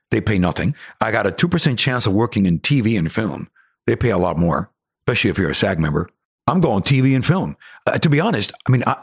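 A man in his sixties from Cuba with a Cuban accent, an actor telling stories in a casual chat, animated and laughing often. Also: on the low side, slightly rough, no echo, clean studio sound. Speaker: actor guest.

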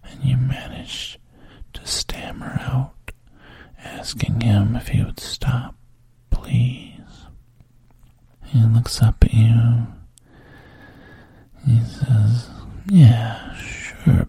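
Slow whispering male narrator. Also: mid-range voice, level tone. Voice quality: breathy whisper. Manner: slow, intimate, hushed. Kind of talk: whispered second-person story narration.

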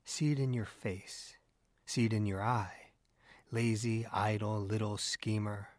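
A man voicing an excitable, scornful, bullying newspaper editor in an audiobook, with an emphatic, blustering delivery. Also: lowish voice, unhurried, no room sound, studio quality, lightly acted.

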